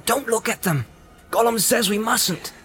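Man acting an anxious, high-voiced loyal servant. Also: a little slow, fairly bright tone, soft background music. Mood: urgent, hushed. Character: anxious, plain-spoken, loyal male servant on a journey. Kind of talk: dramatic audiobook character reading.

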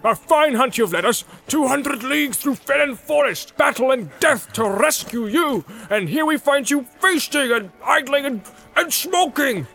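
A man's voice: very high-pitched, expressive, a little slow, gravelly, sharp tone, soft background music, pitched above the performer's own voice, exaggerated voice. A man voicing a hot-tempered, indignant dwarf warrior, blustering and exclaiming in a storybook reading. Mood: outraged, overjoyed.

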